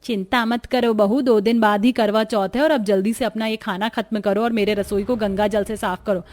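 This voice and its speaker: A woman's voice: mid-range voice, fairly even intonation, normal speed, a little mellow, close to an everyday voice. A woman voicing a strict but caring traditional mother-in-law who gives firm instructions in a cartoon story. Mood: stern, instructing. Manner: instructing, firm, addresses her daughters-in-law.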